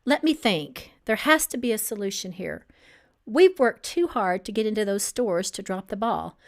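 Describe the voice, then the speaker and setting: A woman voicing a tough businesswoman in her late twenties in an audiobook, firm, brisk and exasperated. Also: mid-range voice, expressive, lightly acted.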